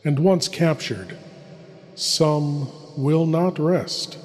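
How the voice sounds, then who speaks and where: A man hosting a horror show with theatrical, ominous narration full of dramatic pauses, in cavernous reverb. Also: fairly high pitch, a little mellow. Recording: long cavernous reverb, music behind.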